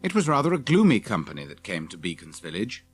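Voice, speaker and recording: A man's voice: fairly low pitch, huge pitch swings, fast talker, slightly rough, faint music behind. A man reading scripted narration for a children's audiobook.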